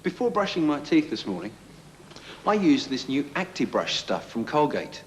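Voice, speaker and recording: Lively everyman in a reverberant room. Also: fairly high pitch, moderate pace. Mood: matter-of-fact. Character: everyman demonstrating toothpaste in an advert. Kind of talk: conversational advert testimonial. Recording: reverberant room with faint noise.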